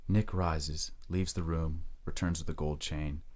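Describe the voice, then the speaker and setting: A man's voice: a bass voice, flat delivery, a little slow, darkish tone. A man narrating a scripted audio drama as its storyteller.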